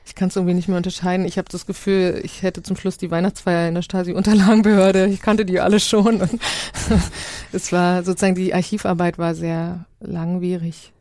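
A woman's voice: lowish voice, flat delivery, a little fast, mellow, no echo. A woman giving an informal, spontaneous first-person account as a discussion guest, thinking aloud as she searches for words. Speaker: guest, author of an audio documentary project.

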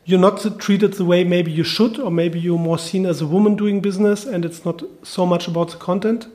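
A man's voice: high voice, steady tone, normal speed, dark tone. A man hosting a podcast, asking long, carefully worded interview questions. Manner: thoughtful, long winding questions. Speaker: podcast host.

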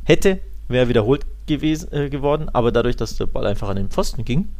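A man's voice: mid-range voice, animated, fast, a little mellow. A man co-hosting a podcast, giving his opinions in a casual, colloquial sports chat. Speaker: football podcast co-host.

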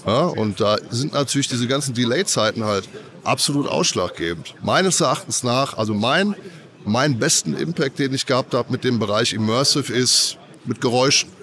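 A man's voice: medium pitch, lively intonation, moderate pace, fairly bright tone. A man with a cold, explaining audio tech at a trade fair in a loose, filler-heavy way. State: has a cold. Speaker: audio company representative. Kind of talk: casual trade-fair interview.

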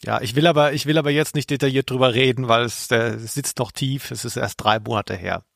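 A German man with a gravelly creak in his voice, recorded close and dry. He co-hosts a podcast with casual banter and short, deadpan replies. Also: mid-range voice, fairly quick, no echo. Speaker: podcast co-host.

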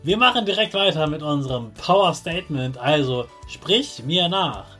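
A man's voice: on the high side, animated, normal speed, bright, forceful tone, husky, soft background music. A man hosts a children's podcast, talking directly to the child in simple, encouraging, motivational words.

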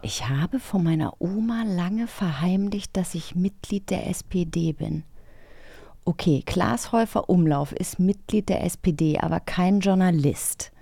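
A woman co-hosting a podcast in casual, enthusiastic, expressive chat. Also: on the low side, unhurried, creaky, no echo. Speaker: podcast co-host.

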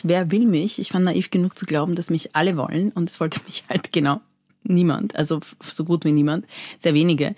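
Low-voiced Persian-born woman, dry sound. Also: dry recording. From Iran. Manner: animated, lively storytelling with many fillers. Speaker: cabaret artist guest. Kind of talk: casual interview chat.

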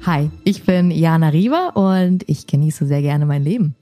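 A woman's voice: low-pitched, expressive, moderate pace, dark tone, no echo, clean studio sound, soft background music. A woman in her late twenties speaking frankly and easily as an interview guest. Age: 20s. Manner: frank, easygoing, laughs at herself. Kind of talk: casual chat.

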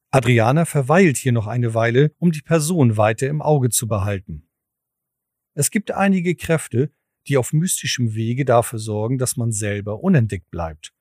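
A man's voice: medium pitch, animated, moderate pace, a little mellow, no room sound, clean studio sound. A man narrating a scripted audio drama as its storyteller.

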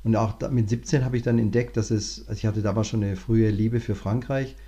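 A man, a management consultant, talking through his career in a relaxed interview, thinking aloud with many restarts. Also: on the low side, brisk. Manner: thoughtful, many fillers and restarts. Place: lives in Cape Town, remote interview.

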